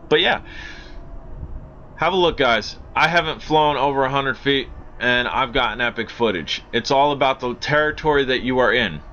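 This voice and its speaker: A man's voice: on the low side, sharp tone. An American man giving casual, enthusiastic drone review commentary in an informal way, repeating himself for emphasis. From Pennsylvania, United States. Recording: dull lo-fi audio with faint noise.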